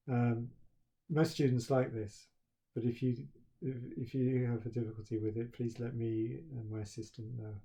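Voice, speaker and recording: A soft-spoken middle-aged man addresses his students in a halting, hesitant way. His voice is very dark and mellow, almost without melody. He sits at a middle pitch and fills his pauses with quiet "uh"s. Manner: halting, many fillers and restarts. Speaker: university professor. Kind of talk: addressing students at start of term.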